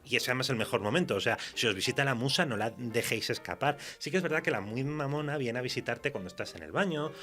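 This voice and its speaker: A man's voice: mid-range voice, animated, quick pace, hardly any pauses, sharp tone, faint music behind. A man with a Spain accent talking casually and colloquially as a writer being interviewed, telling anecdotes with some mild swearing. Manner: laughs while talking. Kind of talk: casual literary interview chat.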